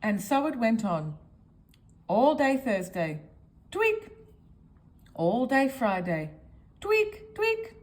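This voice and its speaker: A woman's voice: on the high side, big pitch swings, slow pace, pauses often. A woman reading a children's picture book aloud, expressively, as if speaking directly to young listeners. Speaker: storyteller.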